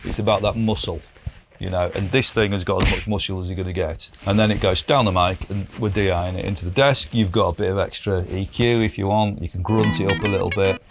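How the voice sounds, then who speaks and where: A man's voice: sits low, steady tone, quick pace. A man presenting guitar gear in a casual, explanatory, matey chat, speaking informally. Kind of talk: casual product demo chat. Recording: clear background noise.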